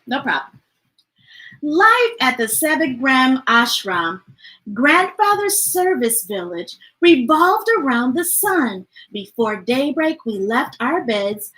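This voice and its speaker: A woman's voice: high voice, bright, forceful tone, poor audio. A woman hosting children's storytime, reading picture books aloud and chatting informally with viewers in an enthusiastic, folksy way. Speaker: children's storytime host and reader.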